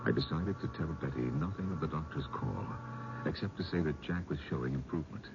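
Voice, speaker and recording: Sober gentleman investigator, extremely low creaky voice, measured formal radio drama storytelling. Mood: sober, narrating. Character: sober, inquiring gentleman narrating his own mystery. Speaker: actor and narrator in radio mystery drama. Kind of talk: 1970s radio drama narration and dialogue. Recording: dull, noisy old radio broadcast.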